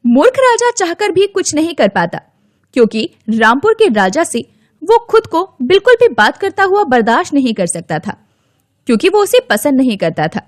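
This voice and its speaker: A woman storyteller reads a scripted children's tale. Her voice is high-pitched and swoops expressively, with a dry studio sound. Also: big pitch swings, normal speed, no echo.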